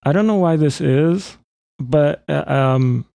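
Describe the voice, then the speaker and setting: A man presenting a music software tutorial in a casual, informal way, thinking aloud as he works. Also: on the high side, takes it slowly, creaky, clean tone, dry recording. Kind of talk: casual spoken software demonstration.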